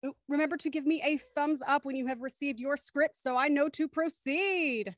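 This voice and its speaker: A woman's voice: high voice, normal speed, no room sound, studio quality. A woman hosting an online script reading and narrating scene directions. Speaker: host reading stage directions. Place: home, on a video call.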